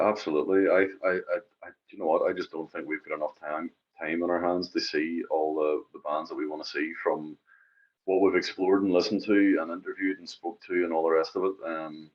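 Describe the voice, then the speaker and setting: A man's voice: very deep voice, highs cut off. A Northern Irish man co-hosting a music podcast in casual chat, often repeating phrases for emphasis. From Northern Ireland.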